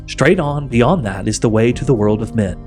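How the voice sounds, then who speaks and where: A man's voice: mid-range voice, expressive, moderate pace, darkish tone, faint music behind, close to an everyday voice. A man voicing a sly, coaxing witch queen for a storybook, speaking in a grand, persuasive, commanding way. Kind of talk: audiobook character voice.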